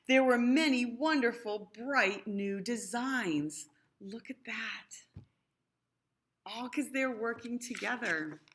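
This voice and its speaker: A woman's voice: a little high, a bit bright. A woman reading a picture book aloud to young children, expressively, with explanatory asides. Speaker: storybook reader.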